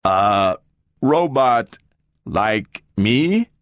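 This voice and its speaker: Brief: slow, booming machine voice, flat and literal, played by a male narrator. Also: smooth, no echo, studio quality. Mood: flat, mechanical. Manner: booming, slow, without intonation. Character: big immobile talking machine, flat and literal.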